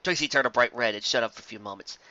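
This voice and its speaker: A man's voice: medium pitch, very fast, bright, forceful tone, no echo, muffled recording. A man reading fiction aloud as a dramatized narration, recorded close in a dry space. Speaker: story reader.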